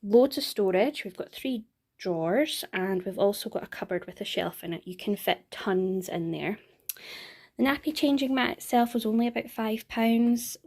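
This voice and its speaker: A woman's voice: mid-range voice, darkish tone. Chatty Scottish woman vlogging. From Scotland. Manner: chatty and upbeat, with small laughs and "um" fillers. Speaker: parenting vlogger. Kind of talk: casual product walkthrough.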